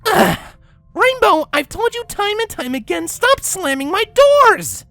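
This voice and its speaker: A woman's voice: very high voice, sing-song, unhurried, a bit bright, no echo, soft background music, full character voice. A woman voicing a bookish young alicorn mare in a story, emphatic and knowing. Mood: exasperated scolding.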